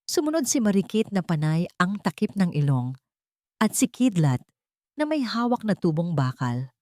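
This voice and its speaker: A woman's voice: fairly low pitch, big pitch swings, normal speed, darkish tone, no room sound, clean studio sound. A woman reading a dramatic scripted audio story as its narrator.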